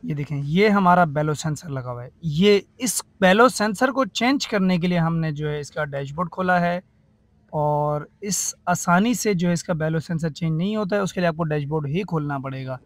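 A man's voice: fairly high pitch, normal speed, dry recording. A man, a car AC mechanic, walks through a repair step by step and keeps saying "theek hai" and "ye dekhiye". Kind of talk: workshop tutorial.

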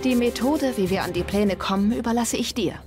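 A woman voicing an earnest warrior in a video game, with a formal, deliberate way of speaking. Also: a little high, music in the background, natural voice. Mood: matter-of-fact. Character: earnest, formal warrior woman, logical but newly emotional. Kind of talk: video game dialogue acting.

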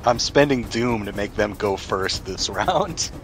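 A man's voice: fairly low pitch, animated, measured pace, a bit bright, faint music behind. A man chatting casually as game master in a tabletop role-playing game.

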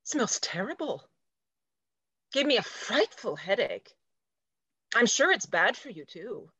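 A woman's voice: a little high, big pitch swings, slow, pushed and bright, breathy edge, dry recording, muffled recording, exaggerated voice. A woman playing a prim, territorial junior executive assistant in a stage play reading, with a precise, officious, matter-of-fact delivery. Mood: fussy. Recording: dry video call.

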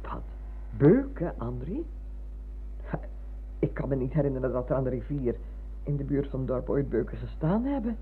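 A woman's voice: very deep voice, big pitch swings, moderate pace, long pauses, slight background noise. Elderly ex-schoolmistress, motherly, insistent, hesitant. Radio drama, narrow-band humming old recording. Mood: wondering. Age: older. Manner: hesitant, repeating words, exclaiming.

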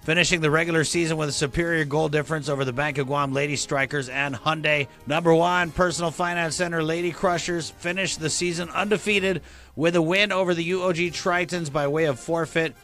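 A man anchoring a local TV sports segment in an energetic, exclamatory, punchy style. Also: on the high side, brisk, soft background music. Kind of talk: upbeat sports newscast.

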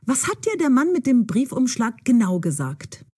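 A bold young woman hungry for adventure. Her voice is fairly high and very lively, with a slightly husky edge. She speaks briskly and excitably, in clear audio-drama style. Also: no echo, clean studio sound. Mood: eager, probing. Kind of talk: German-learner audio drama.

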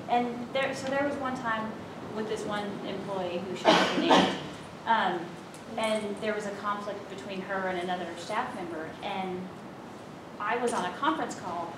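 A woman in the audience tells frank, lively stories about work, speaking from well away from the microphone. The room is very echoey, with loud ambient noise around her voice. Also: mid-range voice, moderate pace. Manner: frank and storytelling. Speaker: seminar attendee. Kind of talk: audience comment. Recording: echoey room, distant from the mic.

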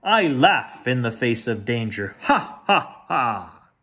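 Man as a young male lion cub, boastful, eager, animated pitch, storybook read-aloud. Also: slow pace, exaggerated voice. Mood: daring. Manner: bold, excitable.

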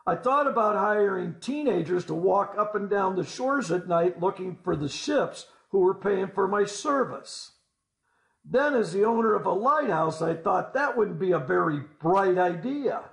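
A man with a fairly high voice narrates a scripted story in the first person. He speaks deliberately, with folksy asides put to the listener. The recording is roomy, with clear echo around his words. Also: expressive, a bit bright. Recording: roomy, echoing voice-over.

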